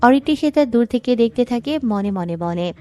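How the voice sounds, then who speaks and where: A woman's voice: on the high side, expressive, moderate pace, mellow, faint music behind. A woman reading scripted story narration as the narrator.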